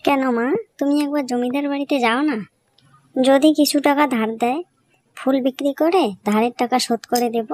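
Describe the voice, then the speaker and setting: Woman as a very high-pitched, earnest young daughter. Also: brisk, a little mellow, lightly acted. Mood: hopeful. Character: caring, hopeful young village daughter. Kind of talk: Bengali cartoon story voice acting.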